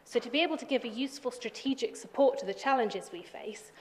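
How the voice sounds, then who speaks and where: A woman councillor making a measured, argumentative case in a council debate, with a few restarts. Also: on the high side, a little mellow. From England. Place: council chamber. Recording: chamber with long reverb.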